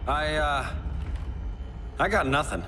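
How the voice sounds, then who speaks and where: A man's voice: fairly high pitch, slow, background noise. An actor playing a casual, wry male superhero in a video game. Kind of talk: video game cinematic acting. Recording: loud music behind.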